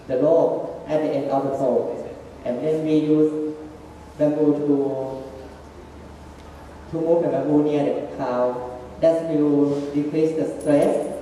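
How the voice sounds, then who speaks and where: A man walks an audience through his slides in halting, non-native English, and his grammar often breaks down. His voice is dark and mellow. He is picked up from a distance in a big, echoing hall. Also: a little high, slight background noise. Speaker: student presenter. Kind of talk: slide presentation. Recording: echoey hall, far from mic.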